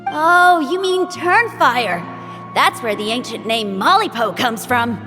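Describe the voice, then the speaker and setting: A woman voicing a friendly, earnest young village child in a fantasy video game, eager and expressive. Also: on the high side, a little slow, music in the background. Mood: storytelling. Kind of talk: video game character acting.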